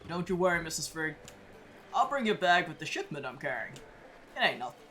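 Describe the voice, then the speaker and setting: A woman's voice: low voice, very animated, a little fast, a bit bright, a little hiss or hum. A woman voicing a friendly older male carpenter who talks in a homespun drawl, with "howdy" and "ain't". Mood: folksy.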